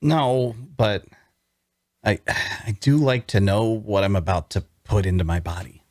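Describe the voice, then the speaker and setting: Casting: actor for tired, mistrustful injured male prisoner, deeper register, intimate dry delivery, first-person dramatic storytelling. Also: a little slow, darkish tone, dry recording, close to an everyday voice. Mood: wary, hesitant. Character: weary, wary wounded male prisoner narrating his story. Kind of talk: audio drama table read with first-person narration.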